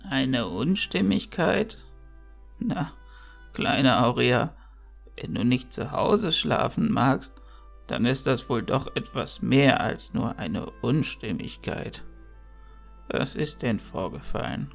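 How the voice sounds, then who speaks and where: Kindly elderly priest for fantasy audio play: cheerful, unhurried, gravelly, slightly dull sound. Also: male voice, mid-range voice, sing-song, soft background music, exaggerated voice. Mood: curious, gentle. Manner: jovial, chatty, drawn-out musing. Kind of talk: audio drama acting.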